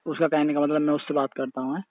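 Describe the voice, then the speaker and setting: A man's voice: a little high, flat delivery, quick pace, no echo. A man chatting casually on a recorded phone call, questioning and protesting defensively.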